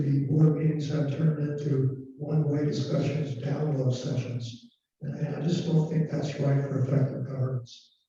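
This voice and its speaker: A man's voice: on the high side, mellow, far from the mic. Earnest man on a muffled roomy call. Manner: measured, earnest, many "um" fillers. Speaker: board candidate. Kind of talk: campaign statement on a video call. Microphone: video call.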